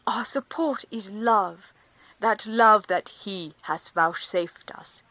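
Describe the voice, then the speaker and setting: Woman reading a devout countess, fervent, preaching, unhurried. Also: mid-range voice, bright, forceful tone, no room sound, low-quality recording. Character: devout rapturous aristocratic countess. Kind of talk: audiobook character reading.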